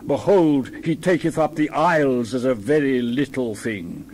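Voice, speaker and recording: A man's voice: mid-range voice, a little slow, a little mellow. A man reading the Bible aloud in a dramatized style, with solemn, theatrical, emphatic declamation. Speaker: Bible reader.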